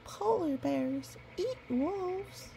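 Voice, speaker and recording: A woman's voice: very high-pitched, expressive, drawn-out words, gravelly, warm tone, a little hiss or hum, voice pushed up, full character voice, poor audio. A woman voicing a small, frightened, lone young male wolf pup in a storybook, timid and wary. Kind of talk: storybook character voice.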